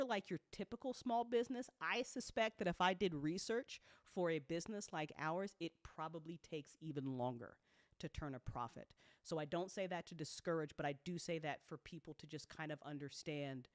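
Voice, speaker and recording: Indie audio creator giving a candid business update; medium pitch, informal, emphatic, unfiltered language, dry sound. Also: a bit bright, no echo. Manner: frank, casual, swears freely. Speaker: audio drama creator and network host.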